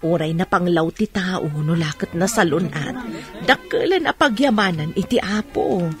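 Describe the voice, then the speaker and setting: A woman's voice: on the low side, animated, moderate pace, soft background music, natural voice. A middle-aged woman playing a proud, hardworking mother in an Ilocano radio drama. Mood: proud, tender.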